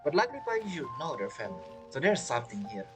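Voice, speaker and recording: Animated male gamer commentating. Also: a little high, quick pace, music in the background. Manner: chatty, musing aloud. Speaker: gaming streamer. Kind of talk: casual game playthrough commentary.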